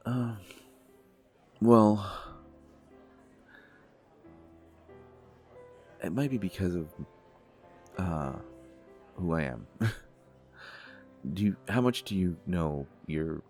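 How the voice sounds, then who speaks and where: A man playing a shy, gentle young god of desire in an intimate audio date roleplay, speaking politely with many hesitations and stammers. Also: low-pitched, expressive, slow pace, pauses often, faint music behind, exaggerated voice. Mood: sheepish, amused.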